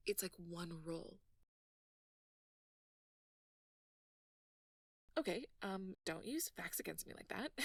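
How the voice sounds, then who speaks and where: A nerdy, flustered girlfriend played by a woman murmurs in a soft, gravel-edged voice, often close to a whisper. She is bashful and playfully teasing in a halting, conversational way. The sound is very dry, as an intimate ASMR roleplay. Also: fairly low pitch, expressive, no echo, distant mic.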